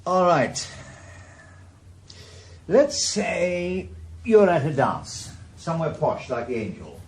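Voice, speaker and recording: A man's voice: fairly high pitch, animated, slow. An actor playing a world-weary, middle-aged former policeman turned private investigator, with a dry, sardonic Welsh voice in a radio drama. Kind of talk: 1980s radio drama acting with first-person narration.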